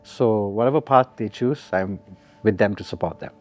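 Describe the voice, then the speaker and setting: A musician in his forties from Singapore, speaking. He gives relaxed, reflective interview answers and laughs often. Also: fairly low pitch, moderate pace. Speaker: musician guest.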